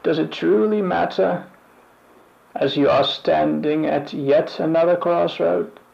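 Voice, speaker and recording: A man playing a bleak, weary otherworldly narrator in an analog horror piece, speaking solemnly and deliberately with a gravelly voice. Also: a little high, steady tone, darkish tone, dull audio, exaggerated voice. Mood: grim, menacing. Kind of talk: slow scripted analog horror monologue.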